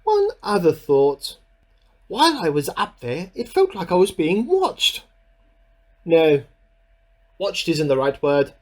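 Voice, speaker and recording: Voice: mid-range voice, very animated, slow, long pauses. An actor in a radio play playing a bemused amateur scientist who keeps a spoken diary, musing aloud in an earnest, slightly formal and self-questioning way. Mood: puzzled musing. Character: bemused amateur scientist keeping an audio diary, increasingly suspicious.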